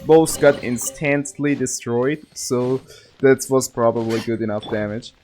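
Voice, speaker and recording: A man's voice: mid-range voice, fairly even intonation, measured pace, a little mellow, faint music behind. A man playing a video game and thinking aloud in casual commentary, self-mocking and given to exclamations and muttering. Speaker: gaming streamer, player.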